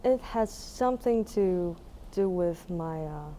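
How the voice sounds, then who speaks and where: A woman's voice: lowish voice, animated, takes it slowly, mellow, a little hiss or hum. A young woman from Taiwan with a Taiwanese accent, answering interview questions casually and searching for words as she goes. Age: young adult. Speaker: musician being interviewed.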